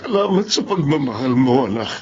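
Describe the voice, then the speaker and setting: Devoted, wounded father played by a man: earnest, expressive swings, dull audio, music underneath. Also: medium pitch, brisk, close to an everyday voice. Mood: tender, pained. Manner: earnest, emotional. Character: heartbroken, devoted father and abandoned husband, Kaloy. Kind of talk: Tagalog radio drama acting and narration. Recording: muffled audio with music behind.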